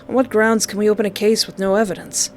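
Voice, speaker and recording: A woman's voice: medium pitch, level tone, fast talker, slight background noise, close to an everyday voice. Woman as a tired, dismissive policeman. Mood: bored, dismissive. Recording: clear background music.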